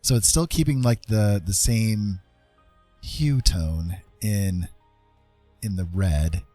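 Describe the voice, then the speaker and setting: A man chatting casually on an art livestream, thinking aloud in a rambling, halting way as he works. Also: lowish voice, warm tone, dry recording. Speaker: livestream host, digital artist. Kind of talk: casual chat while drawing on a livestream. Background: background music.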